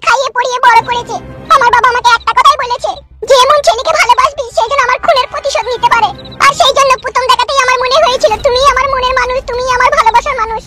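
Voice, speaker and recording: A woman's voice: extremely high pitch, sharp tone, slight background noise. A woman voicing a cunning young wife in a cartoon comedy, coaxing and wheedling as she sets her terms. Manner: coaxing, wheedling, then commanding. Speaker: cartoon character. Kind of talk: Bengali cartoon comedy dubbing.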